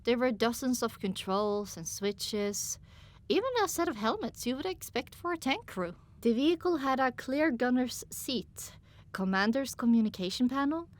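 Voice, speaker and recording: A woman narrating a scripted first-person story as an audiobook, with an expressive, dramatic delivery. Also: sits high, moderate pace.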